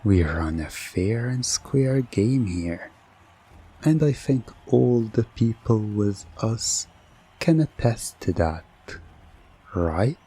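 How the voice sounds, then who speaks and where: Slow, deep, husky male hypnotist. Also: animated. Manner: slow, teasing, theatrical showman; soft, coaxing hypnotic induction. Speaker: hypnotist playing a game show host. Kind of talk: hypnosis ASMR roleplay.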